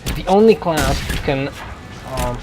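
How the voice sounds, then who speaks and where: A man giving casual video game commentary in a halting way, often correcting himself. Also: fairly high pitch, expressive, background noise. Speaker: gameplay commentator. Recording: game music behind the voice. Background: video game music.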